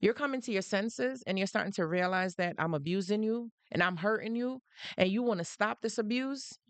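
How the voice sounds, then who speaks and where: A woman with a Jamaican accent talks casually to her livestream audience and drives her points home by repeating them. Her voice sits at a middle pitch. The sound is dry and close, with no room echo. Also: fairly quick. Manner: emphatic, repeats phrases, greets viewers mid-sentence. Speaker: livestream host. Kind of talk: casual livestream talk to viewers.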